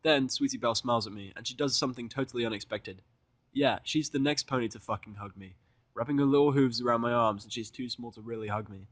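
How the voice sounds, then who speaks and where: A man's voice: medium pitch, fast, no echo. A man narrating fan fiction in the first person, with irreverent, sweary casual asides.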